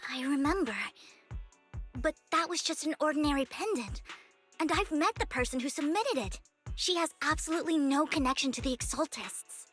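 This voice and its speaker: Young adventurer girl for an anime-style game: high voice, earnest, courteous, carefully formal. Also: normal speed, fairly bright tone, soft background music. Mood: puzzled, earnest. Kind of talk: video game voice acting.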